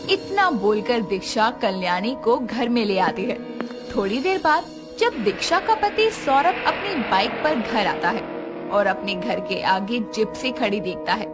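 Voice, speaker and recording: Female storyteller, animated intonation, slightly high voice, scripted cartoon narration, background music. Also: measured pace.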